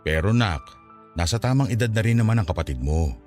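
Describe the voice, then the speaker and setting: An actor playing a gentle, patient older father in a Filipino radio drama, with a mild, conciliatory manner. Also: lowish voice, animated, brisk, lightly acted. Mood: puzzled, placating. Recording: studio drama with music behind.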